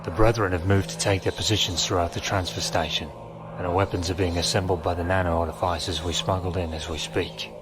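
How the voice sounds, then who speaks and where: A man playing a cold, solemn religious zealot villain in a science fiction audio drama, formal and deliberate. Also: low voice, steady tone, background noise, lightly acted. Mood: cold, reverent. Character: solemn, cold male zealot cult brother.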